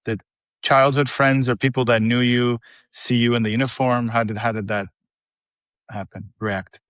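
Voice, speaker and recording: A man asking an interview guest a direct, measured question. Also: medium pitch, flat delivery, a bit bright, clean tone, no room sound.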